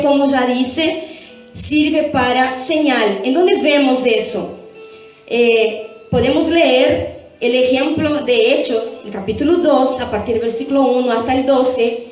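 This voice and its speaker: A woman giving church Bible teaching, explaining step by step and checking in with short tag questions. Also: high voice. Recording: very echoey room, faint music behind.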